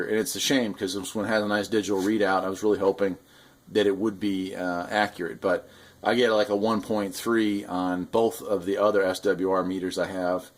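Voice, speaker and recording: A man giving a casual hands-on product demonstration, explaining things in an informal, conversational way as a hobbyist host. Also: low voice. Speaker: radio hobbyist host reviewing gear.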